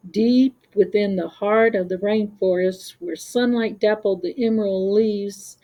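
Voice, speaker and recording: A woman's voice: mid-range voice, fairly even intonation, unhurried, warm tone, low-quality recording. A woman storyteller reading a scripted children's story.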